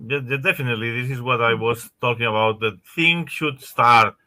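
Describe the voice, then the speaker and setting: A man answering questions as a panelist in an online webinar, halting and repeating words as he thinks. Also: medium pitch, pushed and bright. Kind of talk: answering questions on a video call. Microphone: video call.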